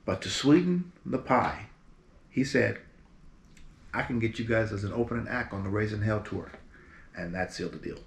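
A man in his fifties from Berkeley, California, a musician guest telling stories from his career in a relaxed, animated way, seated in front of a green screen. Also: fairly low pitch, moderate pace, vocal fry, long pauses. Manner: relaxed, animated storyteller with lively quoted voices. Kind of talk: casual storytelling interview. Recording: roomy echo with faint background hiss.

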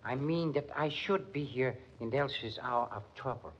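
A man plays a suave European concert musician in a 1940s radio play. His voice is husky and medium in pitch, with a Continental accent and formal, defiant phrasing. The recording is slightly dull. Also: close to an everyday voice. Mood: suave, defensive. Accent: foreign, Continental European. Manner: formal, measured foreign phrasing.

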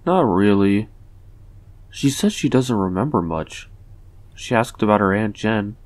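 A man's voice: on the low side, animated, measured pace. A young man voicing an anxious, earnest young man in a comic dub, hesitant and doubtful in dramatic dialogue. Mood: uneasy. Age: young adult. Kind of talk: dramatic dialogue and inner narration. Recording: music behind the voice.

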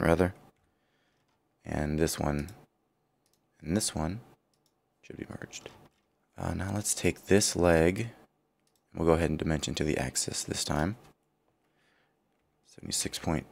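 A man with a partly lost, rough voice walks through a computer design tutorial, thinking aloud and correcting himself as he goes. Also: low-pitched, darkish tone. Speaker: CAD tutorial instructor. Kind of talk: screen-recorded software walkthrough.

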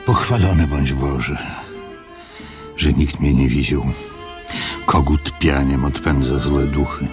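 Old pious innkeeper, radio play, rumbling low, unhurried, reflective and solemn, music behind. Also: male voice. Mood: prayerful, weary. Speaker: radio drama actor. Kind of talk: dramatic monologue in a radio play.

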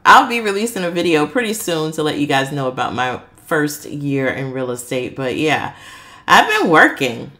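Middle-aged woman, deep-pitched, casual vlog narration, candid, friendly, direct address. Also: fairly bright tone. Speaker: vlogger. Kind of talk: casual chatty voice-over.

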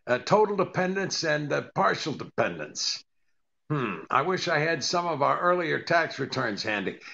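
Gravelly deadpan old radio husband, played by a man. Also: on the high side, normal speed, highs cut off, heavily acted. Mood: dry, patient. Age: older. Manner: deadpan, hesitant with uhs. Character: dry, put-upon comedian husband doing income tax. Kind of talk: 1940s radio comedy acting.

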